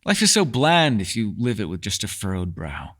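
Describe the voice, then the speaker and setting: A man's voice: lowish voice, huge pitch swings, normal speed, fairly bright tone, heavily acted. A man playing a mysterious, erudite elf in a fantasy ASMR roleplay, speaking in a playful, teasing, slightly formal way.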